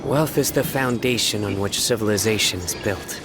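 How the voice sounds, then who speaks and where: An actor playing a grave, composed male enforcer in a video game, speaking in a solemn, measured, formal way. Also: on the low side, natural voice. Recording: game music behind.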